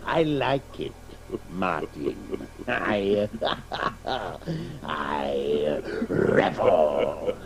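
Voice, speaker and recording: A gloating, very slow sadistic villain. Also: male voice, rumbling low, lively intonation, a crowd in the background, full character voice. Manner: gloating, relishing each word. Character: sadistic male tormentor, gleefully cruel and menacing. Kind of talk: radio play acting.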